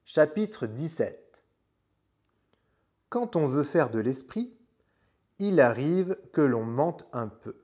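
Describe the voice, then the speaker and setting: A man reading a storybook aloud as a French teacher would for learners, in measured, deliberate phrases with pauses. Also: fairly high pitch, sing-song, a little mellow, slightly rough.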